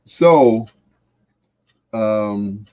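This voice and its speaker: An American man, middle-aged or older, hosts a casual livestream in a relaxed, mellow voice. His pitch swings widely as he chats in a folksy, jovial way. He takes his time, stretching out and repeating phrases. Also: very slow, clear, resonant tone. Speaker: livestream host. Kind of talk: casual livestream chat with viewers.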